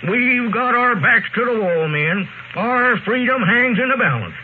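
An actor playing a pompous, alarmist small-town squire, urgent and oratorical, in classic radio comedy style. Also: male voice, very high-pitched, big pitch swings, unhurried, full character voice. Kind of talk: 1930s-40s radio comedy acting. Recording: faintly noisy, narrow-band old radio recording.

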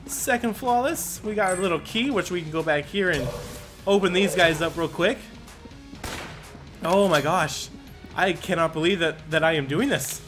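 A man giving casual, excitable commentary as he plays a video game, often talking to himself. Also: high voice. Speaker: gaming YouTuber. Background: game music and gunfire.

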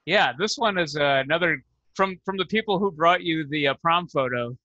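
A man's voice: a little high, normal speed, pushed and bright, no echo, lo-fi sound. A man hosting a casual chat on a video call. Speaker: show host.

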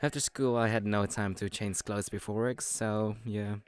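A man voicing an obsessive, falsely sweet young male friend in an intimate audio roleplay, soft and teasing, often breathy. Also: lowish voice, steady tone, natural voice. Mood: friendly, teasing. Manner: slow, chuckling, teasing, coaxing.

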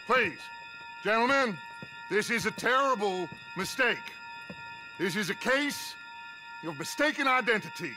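Western game outlaw boss, high pitched for a man, theatrical n slow, music behind. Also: animated. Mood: mock-pleading, theatrical. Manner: theatrical, eloquent, grandiose. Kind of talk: dramatic video game acting.

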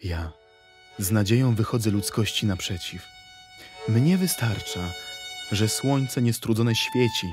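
A man's voice: lowish voice, unhurried, over music. A man reciting poetry in a scripted literary performance. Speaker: poetry reader.

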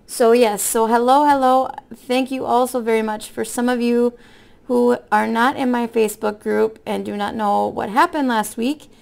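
A woman with a hoarse voice from being sick, chatting casually in a folksy, rambling way as a craft vlogger. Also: fairly high pitch, steady tone, normal speed, darkish tone. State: hoarse from illness with a cough. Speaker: craft vlog host. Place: indoor room at a table.

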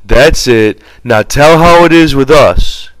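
A man voicing a simple, childlike, eager ranch hand in an audiobook, with drawn-out, ungrammatical rural speech. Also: mid-range voice, no echo, voice pushed up, lightly acted, low-quality recording. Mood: delighted, eager.

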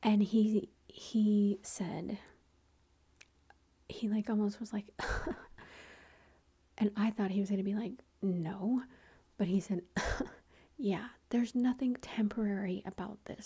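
A woman's voice: mid-range voice, steady tone, slow pace, long pauses. A woman whispering through a long personal story, with many fillers and quoted lines of dialogue. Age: adult with a son. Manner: whispering, soft and breathy. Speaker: vlogger.

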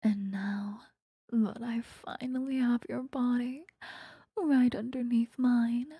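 A woman plays an obsessive vampire stalker in a whispered ASMR roleplay. Her voice is very breathy and soft, with a mellow tone. She lingers slowly over her words, seductive and possessive. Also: fairly high pitch, fairly even intonation, no room sound, studio quality, full character voice. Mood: teasing, possessive. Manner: slow, intimate, lingering delivery. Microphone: close microphone.

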